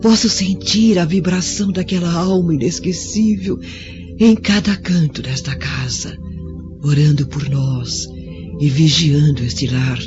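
An actress with a Brazilian accent playing a devoted, tender maidservant of a Roman house in a radio drama, reverent and wistful. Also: female voice, low-pitched, a little slow. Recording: dull lo-fi audio, music clearly behind.

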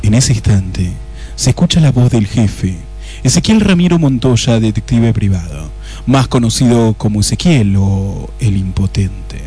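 A man's voice: on the low side, animated, unhurried, mellow, slightly rough, soft background music. A man playing the wry, theatrical narrator of a detective spoof, reading scripted radio play narration with an Argentine accent. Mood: mock-solemn. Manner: theatrical, mock-solemn.

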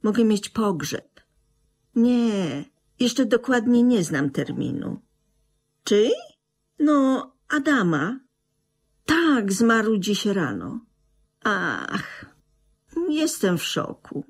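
A woman's voice: mid-range voice, very animated, very slow, long pauses, breathy edge, heavily acted. An actress playing a nonchalant, self-absorbed middle-aged widow and mother in a radio drama, with theatrical delivery. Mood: breezy, chatty. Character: cool, self-absorbed new widow, mother of a grown son. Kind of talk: Polish radio drama acting.

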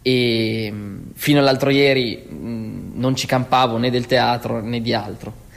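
A man's voice: mid-range voice, fairly even intonation, gravelly. Hesitant man in a reverberant room. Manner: hesitant, with many pauses and "eh". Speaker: interviewee, theatre organizer. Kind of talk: documentary testimony.

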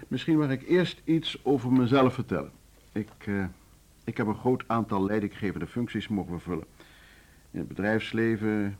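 An actor in a Dutch radio play, playing a formal, courteous older board chairman who speaks in a measured, diplomatic way. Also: fairly low pitch, darkish tone.

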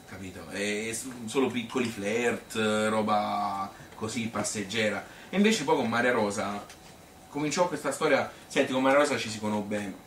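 A man's voice: fairly low pitch, a bit bright, natural voice. A man playing an easygoing Tuscan man who rambles fondly about his youth in a folksy theatrical monologue. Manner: rambling, folksy, self-justifying. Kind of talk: theatrical monologue, colloquial storytelling. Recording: echoey room, distant mic, hissy noise.

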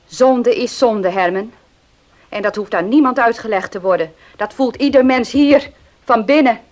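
An actress playing a stern, pious and outspoken old farm maid in a radio drama. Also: female voice, on the high side, normal speed, darkish tone, natural voice. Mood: stern, reproachful. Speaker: actor in a radio play. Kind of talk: Dutch radio drama acting.

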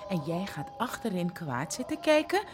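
A woman's voice: on the low side, big pitch swings, moderate pace, music in the background. A woman playing a defiant, reproachful young shopkeeper in a Dutch radio drama. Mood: annoyed defiance. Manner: reproachful, standing her ground. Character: defiant pregnant young woman running a small business.

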